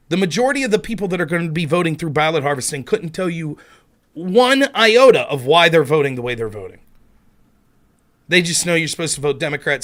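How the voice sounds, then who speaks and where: A man from Pennsylvania hosts his livestream from home through a headset. He talks to himself in a casual, grumbling way, with mild curses and blunt political opinions. His voice sits a little high and swings widely up and down. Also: a bit bright.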